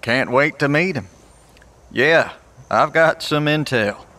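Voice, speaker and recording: An earnest male survivor in an audio drama, leader of a small band. His voice is fairly high and forcefully bright, with informal, polite phrasing. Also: animated, takes it slowly, exaggerated voice. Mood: steady, cooperative.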